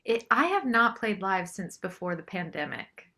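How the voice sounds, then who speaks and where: A woman being interviewed as a singer-songwriter, chatting casually and politely in a slightly tentative, creaky way. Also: mid-range voice, sing-song, normal speed, bright, forceful tone. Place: small home studio.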